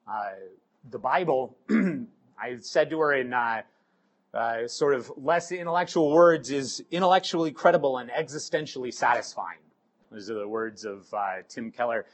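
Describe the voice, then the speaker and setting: A middle-aged man with a cold, sounding hoarse, giving a halting sermon full of ums and uhs. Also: medium pitch. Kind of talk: sermon with scripture reading.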